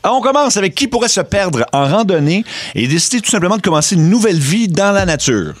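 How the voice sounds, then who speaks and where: A man's voice: high-pitched, very animated, fast, no room sound. A man hosting a radio show, upbeat as he leads the segment. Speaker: radio host. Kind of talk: casual banter and show links.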